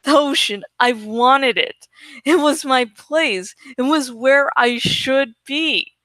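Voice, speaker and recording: A woman's voice: high voice, slow, fairly bright tone, no room sound. A woman reading a first-person story aloud expressively, drawing out words for dramatic emphasis. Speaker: amateur audiobook reader.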